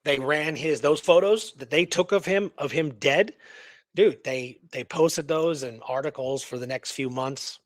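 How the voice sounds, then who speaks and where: Guy chatting on a history radio show, slightly high voice, rambly and halting, dry close sound. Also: no room sound. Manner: rambling, halting, repeats words. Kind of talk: casual discussion of a historical mystery.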